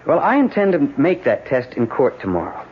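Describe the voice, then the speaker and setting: Blunt American defense lawyer, pressing and urgent, widely swinging pitch, dull noisy old radio sound. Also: male voice, wildly swooping pitch, brisk, breathy edge, exaggerated voice. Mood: urgent, stern. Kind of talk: old-time radio drama acting.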